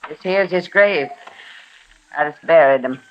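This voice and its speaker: A woman's voice: low voice, measured pace, dull audio, slight background noise. An actress playing a fierce, stubborn middle-aged frontier mother in a radio western, terse and defiant, with a rural American Western accent. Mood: bitter, terse. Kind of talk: 1950s radio western acting. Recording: vintage radio drama recording.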